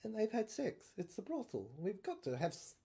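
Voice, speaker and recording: A woman performing a dramatic audio screenplay reading with a halting, emphatic delivery. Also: medium pitch, wildly swooping pitch, creaky, warm tone, husky.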